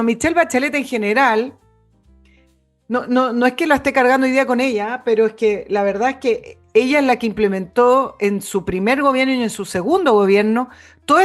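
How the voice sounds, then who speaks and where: Higher-voiced Chilean woman discussing politics. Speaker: co-host and political commentator.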